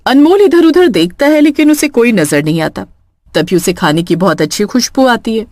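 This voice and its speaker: A woman's voice: on the high side, lively intonation, normal speed, a little mellow, no room sound. A woman narrating a scripted horror story in a dramatic storytelling style.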